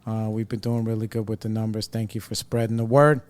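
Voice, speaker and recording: A man's voice: lowish voice, little pitch movement, quick pace, a little mellow. A man hosting a podcast, chatting casually and laughing easily with his guests. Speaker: podcast host. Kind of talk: casual interview chat.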